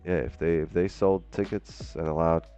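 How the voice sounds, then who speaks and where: Super deep voiced guy from brooklyn, rambling stream chat, says like a lot, faint music behind. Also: steady tone, moderate pace, mellow, muffled recording. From Brooklyn, New York. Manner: rambling, chatty, says "like" often. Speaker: trading stream host. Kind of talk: casual live-stream chat. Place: home, living in Miami.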